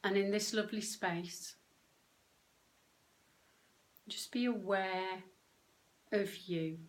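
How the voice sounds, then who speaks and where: Very slow-speaking woman guiding a meditation. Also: medium pitch, steady tone. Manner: gentle, unhurried, with long pauses between short phrases. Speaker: meditation guide and coach. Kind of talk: guided meditation, soothing instruction.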